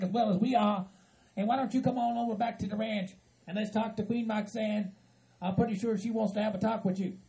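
A man voicing an earnest Southern hillbilly kinsman who stammers, repeats himself and tries to calm others down. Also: high-pitched, gravelly, poor audio. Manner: stammering, repetitive, pleading for calm.

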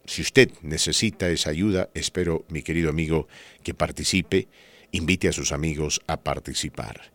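A man's voice: a bass voice, normal speed, fairly bright tone, no room sound. A man hosting a talk show, giving conversational news commentary in an emphatic, rhetorical manner. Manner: emphatic, rhetorical, asks listeners tag questions. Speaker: radio podcast host.

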